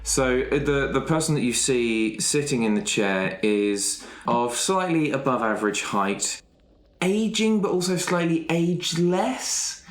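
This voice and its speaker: A man improvising a character description for a roleplay game, speaking in a halting, wry way. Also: mid-range voice, lively intonation. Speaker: player in a roleplay podcast. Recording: roomy, echoey sound with faint noise.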